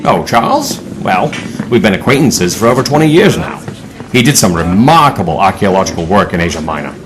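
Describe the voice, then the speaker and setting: Casting: male actor as genial older scholar-leader, lowish voice, highly expressive, erudite, for adventure audio drama. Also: brisk, background noise. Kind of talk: audio drama acting and narration.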